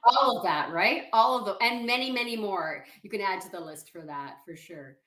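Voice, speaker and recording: A woman confidence coach, speaking as an interview guest over a video call and calmly walking the listener through exercises. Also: mid-range voice, normal speed, echoey room. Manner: calm, explanatory, walks the listener through exercises. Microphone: video call setup.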